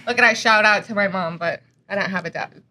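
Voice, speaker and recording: Bright, giggly woman in her thirties. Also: mid-range voice, fairly quick, slight background noise. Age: 30s. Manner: giggly, playful teasing. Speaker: guest host, office coworker. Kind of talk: casual office banter.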